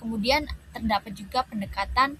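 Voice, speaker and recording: A woman's voice: fairly high pitch, moderate pace, bright, forceful tone, slight background noise. A young woman giving a scripted academic presentation as a student, explaining point by point. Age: young adult.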